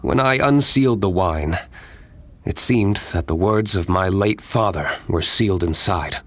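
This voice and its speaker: A man voicing a composed, serious young nobleman winemaker in a video game, with a measured, formal, restrained delivery. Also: sits low, slight background noise. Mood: reflective. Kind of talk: video game character dialogue. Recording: studio voice over game music.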